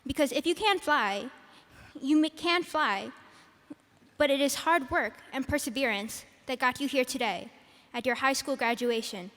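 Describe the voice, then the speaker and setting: A soft-spoken teenage girl reads her graduation speech into a podium microphone. Her voice sits high and light. Long reverb from the big arena trails after every phrase. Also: normal speed. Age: teens. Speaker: salutatorian giving graduation speech. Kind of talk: prepared commencement speech. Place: large arena.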